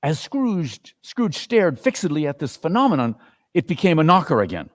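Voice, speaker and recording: A man narrating a classic story as a dramatic reading, with expressive, theatrical delivery. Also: a little high, normal speed. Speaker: narrator and performer. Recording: reverberant hall.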